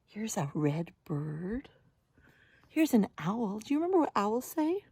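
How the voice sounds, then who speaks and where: A woman reading a picture book aloud to young children as a teacher, gently and with sing-song, exaggerated expression, often addressing them directly. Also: mid-range voice, big pitch swings, a little slow, husky, no echo, clean studio sound.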